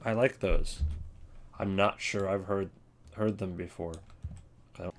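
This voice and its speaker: A man's voice: low voice, fairly even intonation, a little slow. A man giving casual, rambling commentary as a video creator, offhand and often interrupting himself. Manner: offhand, hesitant, self-interrupting. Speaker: video creator ranking game voice lines.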